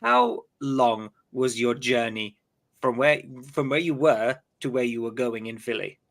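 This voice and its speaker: A man's voice: mid-range voice, animated, a little slow, creaky. A man hosting a live-stream fan podcast, chatty and loose, often repeating words as he goes. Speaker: podcast host. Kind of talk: casual live-stream chat.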